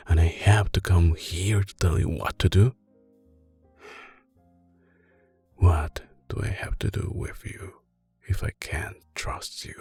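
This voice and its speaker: Man acting as Italian-accented mob boss boyfriend, low gravelly voice, soft ASMR delivery, controlled, dangerous but doting, music behind. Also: heavily acted. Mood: stern, disappointed. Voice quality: deep, creaky. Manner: slow, deliberate, controlled, intimate. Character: soft-spoken, menacing, doting male mafia boss boyfriend. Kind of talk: ASMR roleplay, soft spoken with whispering.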